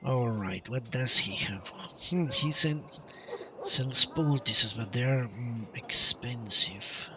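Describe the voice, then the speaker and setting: A man streaming a video game with casual live commentary, halting and thinking aloud as he plays. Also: mid-range voice, distant mic, crowd noise. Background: video game music.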